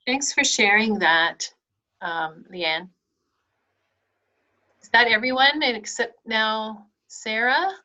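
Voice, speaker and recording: A woman's voice: on the high side, takes it slowly, pauses often, bright, forceful tone, low-quality recording. A woman facilitating a group discussion, encouraging and affirming each person who shares. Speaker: meeting facilitator.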